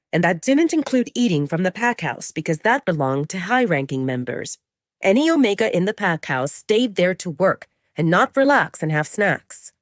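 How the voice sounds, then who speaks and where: A woman narrates a scripted romance serial in a dramatic storytelling style. Her voice is slightly low with lively rises and falls, and the recording is dry and intimate. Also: no room sound.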